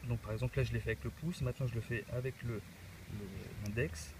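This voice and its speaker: A man's voice: mid-range voice, steady tone, fairly quick, noisy background. A man with a cold giving a casual, hesitant unboxing walkthrough as a tech reviewer, with many filler sounds. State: has a cold.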